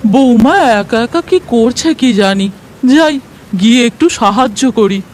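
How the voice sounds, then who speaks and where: A worried older village mother-in-law in a cartoon. Her voice is fairly high and unhurried, with faint music behind it. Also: expressive, a little mellow. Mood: concerned. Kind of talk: cartoon voice acting.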